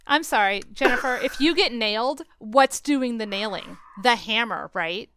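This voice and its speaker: A woman hosting a podcast, talking casually about books and films in an animated, emphatic, argumentative way. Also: on the high side, normal speed, clear, resonant tone. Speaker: podcast host. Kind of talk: casual book and film discussion.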